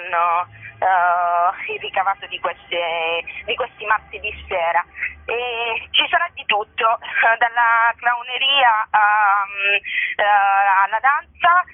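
A woman speaking as a festival organizer in a casual radio interview, hesitantly searching for words with drawn-out pauses, over a telephone. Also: medium pitch, no echo. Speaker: organizer of a theatre festival, guest. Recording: tinny narrowband telephone line.

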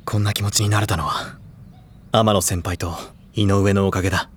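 An actor playing a stoic, reserved high school boy, talking in a measured, serious way in an audio drama. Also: steady tone, slightly rough. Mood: wistful. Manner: measured, formal, restrained. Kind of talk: Japanese audio drama dialogue.

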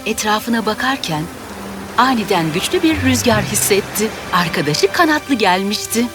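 A woman narrates a children's tale in a scripted storytelling style. Her pitch sits in the middle and swings widely. Music plays clearly behind her. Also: expressive, moderate pace.

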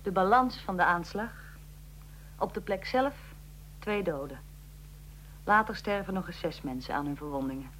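A woman's voice: on the low side, animated, moderate pace, long pauses, fairly bright tone, a little hiss or hum, low-quality recording. A woman playing a tense eyewitness reporter in a radio drama, commenting dramatically on history as it unfolds. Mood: sober, probing. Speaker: reporter and interviewer.

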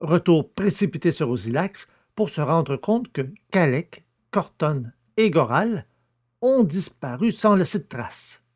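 A man hosting a podcast and narrating a science fiction audio novel, in a clear, even storyteller's reading. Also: on the high side, a little slow.

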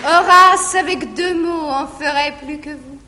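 A woman playing a naive young woman who coolly refuses her guardian, speaking verse softly in a 1959 live theatre recording. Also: high voice, unhurried, some chatter behind. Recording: 1959 live theatre recording, long reverb, faint audience.

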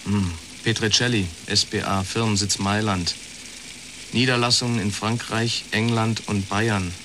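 An actor playing a laconic newspaper archivist, speaking tersely and matter-of-factly in a radio crime drama. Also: male voice, on the low side, fairly even intonation, measured pace, background noise, close to an everyday voice. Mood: dry, matter-of-fact. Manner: terse, dry, matter-of-fact. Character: laconic male newspaper archivist, old school friend.

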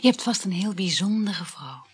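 Breathy, swooping needy mistress. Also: female voice, medium pitch, expressive, normal speed. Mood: plaintive. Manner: languid, sighing, dropping into breathy whispers. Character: needy, adoring mistress, insecure and short of money. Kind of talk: intimate radio play dialogue.

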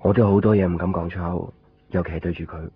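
Low-voiced brooding Hong Kong Cantonese man. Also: steady tone, fast talker, slight background noise. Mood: casual, hesitant. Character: brooding, lovelorn man searching a night hospital. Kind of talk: 1990s radio drama, introspective first-person narration. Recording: dull lo-fi radio recording, faint music.

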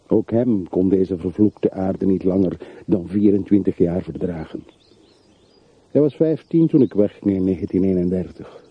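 A man's voice: on the low side, breathy edge, no echo. An actor playing a bitter, world-weary middle-aged man in a radio play, speaking tersely and broodingly on an old recording. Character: bitter, world-weary man revisiting his hometown's dead. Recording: muffled, phone-like, noisy old radio recording.

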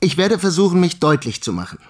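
Earnest, higher-pitched investigator. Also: male voice, big pitch swings, fast talker, breathy edge, exaggerated voice. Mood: insistent. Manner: polite, insistent, formal. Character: earnest, persistent male scientific investigator questioning politely. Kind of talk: radio play acting.

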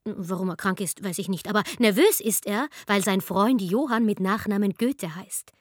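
A woman's voice: mid-range voice, normal speed, no echo. A woman narrating a radio drama in a dry, conversational, tongue-in-cheek manner.

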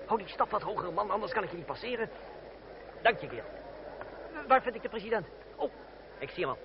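A suave gentleman adventurer of a radio play, ironic and sure of himself. The voice sits a bit high, and the recording is muffled with clear background noise. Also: animated, fast. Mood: brisk, assured. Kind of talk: radio drama acting.

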